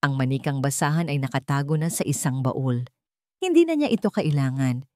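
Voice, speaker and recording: A woman reading a sentimental love story as a scripted narration, in a measured, earnest storytelling manner. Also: rumbling low, lively intonation, a little mellow, no echo, clean studio sound.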